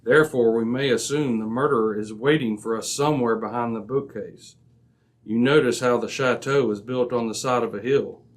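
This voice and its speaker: An actor as a brilliant, impatient male detective in a radio mystery. His voice is mid-pitched and authoritative. He lays out his reasoning crisply, like a man used to being obeyed. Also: close to an everyday voice. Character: commanding, razor-sharp, impatient male detective. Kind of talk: old-time radio drama acting.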